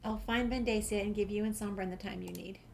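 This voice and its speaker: Female gameplay streamer, medium pitch, casual walkthrough asides and read-aloud dialogue, slight background noise. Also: steady tone, fairly quick, vocal fry.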